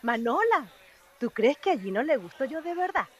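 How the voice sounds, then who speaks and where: A woman's voice: high voice, sing-song, normal speed. An actress playing a feisty, lovestruck young Venezuelan woman in a humorous radio soap, colloquial and coy, with a Venezuelan accent. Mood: lovestruck, worried.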